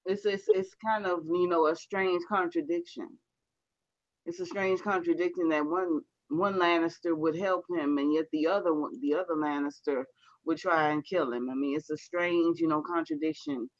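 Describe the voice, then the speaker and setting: A man talking casually in a book club discussion, halting and repeating phrases with many fillers. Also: on the high side, steady tone, no room sound, muffled recording.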